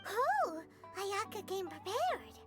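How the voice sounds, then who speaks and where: A woman voicing a small, perky, food-loving fairy companion in an anime video game, squeaky and bubbly. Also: big pitch swings, takes it slowly, over loud music, exaggerated voice. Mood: curious. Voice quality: squeaky, childlike. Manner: bubbly, exclamatory.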